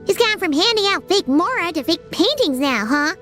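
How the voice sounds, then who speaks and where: A woman's voice: normal speed, music in the background. A woman voicing a tiny, blunt, greedy female fairy companion who calls herself by name, in a game cutscene. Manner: squeaky, excitable, speaks of herself in third person.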